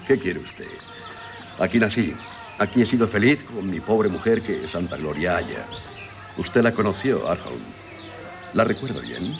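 A man's voice: low voice, expressive, a little slow, creaky, pauses often, over music, heavily acted. An actor with a Castilian accent playing a genial, hesitant middle-aged country doctor and father in a 1970s Spanish radio drama. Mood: wistful. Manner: hesitant, many filler sounds.

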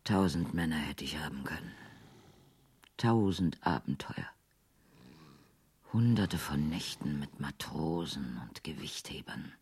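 An actress in a radio play playing a weary housebound wife who is wistful and coy, with a breathy voice that often drops to a whisper. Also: female voice, extremely low pitch, animated, unhurried, long pauses, darkish tone, heavily acted. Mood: bitter, wistful. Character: housebound, weary wife daydreaming of courtship and adventure. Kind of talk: radio play acting, intimate monologue and dialogue.

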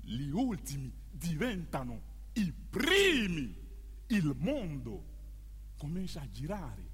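A man's voice: a little high, wildly swooping pitch, fairly bright tone, heavily acted. Declamatory actor as male storyteller in reverberant hall. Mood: emphatic, ironic. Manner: declamatory, emphatic, dramatic pauses. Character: male storyteller, ironic, emphatic, recounting local history. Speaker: stage narrator. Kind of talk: theatrical monologue for radio theatre.

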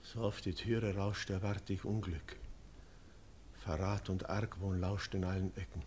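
Wary older village elder, hushed and anxious, radio drama, faint hiss. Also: male voice, sits low, flat delivery, measured pace. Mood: fearful. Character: cautious older male village elder, fearful host. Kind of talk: classic German radio play acting.

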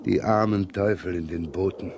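A man's voice: sits low, steady tone, normal speed, noisy background. An actor playing a grumbling, wry and brusque male police inspector in a 1970s radio crime drama.

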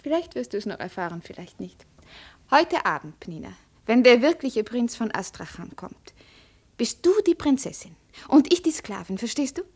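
An actress playing an imperious young princess, cold, scheming and deliberate, in 1950s radio play style. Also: female voice, on the high side, sing-song, darkish tone, slightly rough, heavily acted. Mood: cold command. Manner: haughty, deliberate, threatening.

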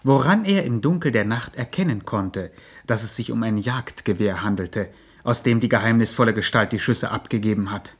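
A male actor playing a deadpan narrator in a mock-documentary radio serial. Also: fairly low pitch, normal speed, breathy edge. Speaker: second narrator.

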